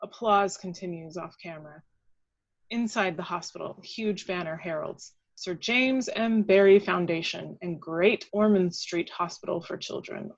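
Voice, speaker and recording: A woman's voice: on the low side, unhurried, no room sound. A woman reading dramatic action descriptions as scripted narration at a table read, on a lo-fi recording.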